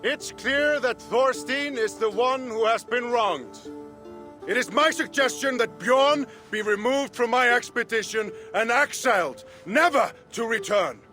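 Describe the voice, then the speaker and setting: A commanding Viking jarl, with a bright, forceful voice pitched fairly high. He is stern and measured, passing judgment like a ruler in a dramatic game cutscene. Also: faint music behind, exaggerated voice. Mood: stern anger. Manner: measured, authoritative. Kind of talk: dramatic video game acting.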